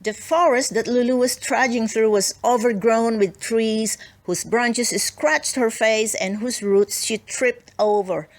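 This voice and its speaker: A woman's voice: fairly high pitch, a little slow, few pauses. A woman narrating a children's storybook expressively, with dramatic emphasis and playful asides. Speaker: storybook reader.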